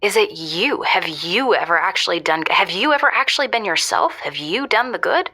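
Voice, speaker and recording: A woman's voice: mid-range voice, lively intonation, normal speed, pushed and bright, breathy edge, full character voice. An actress playing a candid, skeptical woman caller in a naturalistic audio drama, conversational and probing. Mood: disappointed, frank. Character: candid, skeptical woman caller gently challenging her date.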